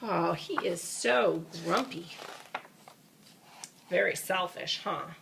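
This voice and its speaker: A woman's voice: fairly low pitch, slow, creaky, noisy background. A woman reading a children's storybook aloud, with dramatic emphasis and chatty asides addressed to a young listener. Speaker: storybook reader.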